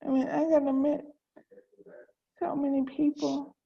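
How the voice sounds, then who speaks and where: High-pitched creaky-voiced woman on a call. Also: flat delivery, moderate pace, warm tone. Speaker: organizer and host of table read. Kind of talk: casual video-call chat. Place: remote video call. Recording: dull lo-fi video call audio.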